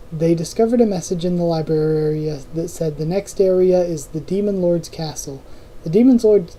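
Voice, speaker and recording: A hoarse host reading a novel aloud, breaking off for quick asides and going back over fumbled lines. The tone is deep and mellow, at a middling pitch. The delivery stays relaxed and unpolished. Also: slight background noise, poor audio. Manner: informal reading with restarts and self-corrections. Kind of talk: casual novel readthrough with asides.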